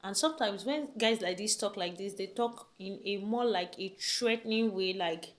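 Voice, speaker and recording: A woman's voice: mid-range voice, moderate pace. A young woman giving relationship advice to camera in a chatty, emphatic way, full of rhetorical questions. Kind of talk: casual talk to camera.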